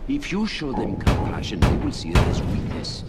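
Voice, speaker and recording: A man's voice: medium pitch, wildly swooping pitch, loud music behind the voice. A man playing a sneering, grandiose warlord in a video game cutscene, speaking with theatrical contempt. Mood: seething lecture. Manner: theatrical, contemptuous, drawn-out philosophizing.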